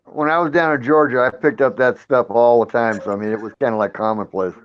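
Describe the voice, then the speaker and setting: An older retired police officer joins the chat as a guest, friendly and agreeable, talking at an ordinary pitch. His audio comes through dry and slightly dull, muffled like a remote call. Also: steady tone, fast, smooth, no echo.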